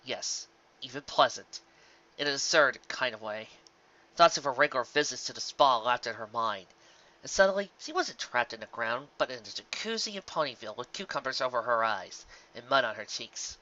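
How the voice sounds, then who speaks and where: A narrator reading a scripted story aloud with an expressive, dramatic storytelling delivery. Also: mid-range voice, normal speed, muffled recording. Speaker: audiobook narrator.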